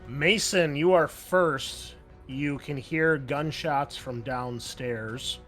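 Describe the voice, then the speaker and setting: A man's voice: a little high, very slow, a bit bright. A man working as game master, narrating and refereeing a tabletop roleplaying game in an improvised, conversational way. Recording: background music under the voice.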